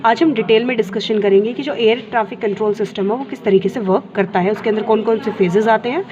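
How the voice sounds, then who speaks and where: A woman's voice: a little high, quick pace, crowd noise. A woman teaching a school lesson, explaining step by step and asking questions that she answers herself. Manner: teacherly, asks and answers own questions, mixes in English terms. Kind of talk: explanatory lesson, reading and paraphrasing textbook. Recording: dull lo-fi with clear background noise.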